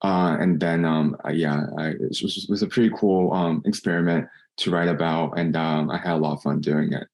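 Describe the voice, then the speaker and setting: A man answering as a writer, explaining casually and haltingly as he searches for his words. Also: low voice, steady tone, a little mellow. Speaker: contributing writer answering a question.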